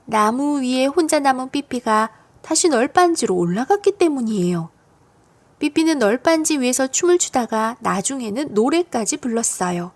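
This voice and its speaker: A woman reading a children's storybook aloud as a narrator, expressive and geared to young listeners. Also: high voice, normal speed. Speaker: audiobook narrator of children's stories.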